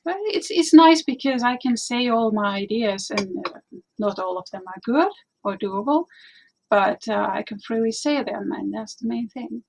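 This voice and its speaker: A woman's voice: fairly high pitch, expressive, moderate pace, creaky, darkish tone, rough recording. Finnish woman chatting with light hesitation. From Finland. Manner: hesitant, frequent "uh" fillers, light laughs. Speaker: livestream co-host. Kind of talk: casual livestream chat.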